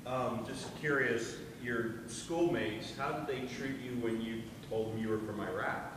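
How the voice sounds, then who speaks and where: A man in the audience asking a question in a slow, measured way. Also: mid-range voice, steady tone. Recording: distant, echoey hall, noisy.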